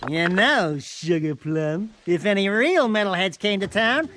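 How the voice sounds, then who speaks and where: An actor voicing a small, boastful but cowardly animal sidekick in a video game, squawky and nasal, with brash wisecracks. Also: male voice, high voice, lively intonation, unhurried, very noisy. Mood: cocky, smooth. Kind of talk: cartoonish video game acting.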